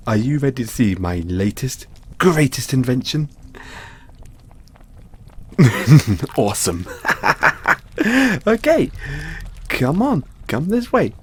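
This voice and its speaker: A man playing a giddy, affectionate mad scientist boyfriend in an audio roleplay, excitable and theatrical, coaxing the listener along. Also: fairly high pitch, slightly rough, full character voice. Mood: giddy, excited.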